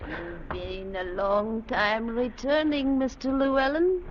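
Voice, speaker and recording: An actress playing a watchful woman innkeeper, measured, knowing and ominous, in an old radio drama. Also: on the high side. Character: eerie, watchful woman innkeeper, quietly insistent. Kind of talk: 1940s-50s radio drama acting. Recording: dull, noisy vintage radio recording.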